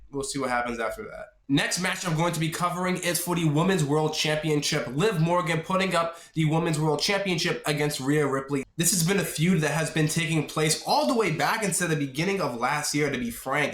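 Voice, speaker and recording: Slightly raspy, higher-pitched man in an echoey room. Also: brisk, fairly bright tone. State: getting over a sore throat. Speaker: host of a wrestling prediction video channel. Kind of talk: casual solo vlog commentary to viewers. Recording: echoey, roomy sound.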